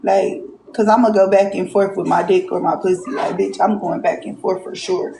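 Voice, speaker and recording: A woman's voice: medium pitch, fairly even intonation, normal speed, gravelly. A woman on a reality show talking bluntly and profanely in rambling casual speech. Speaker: reality show cast member. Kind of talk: casual confessional chat. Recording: roomy clip with faint noise.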